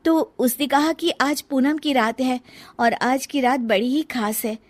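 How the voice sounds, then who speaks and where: Woman putting on an anxious, high-voiced young daughter-in-law. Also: unhurried. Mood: nervous, deferential. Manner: pleading, apologetic, deferential. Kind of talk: dramatized Hindi family storybook acting.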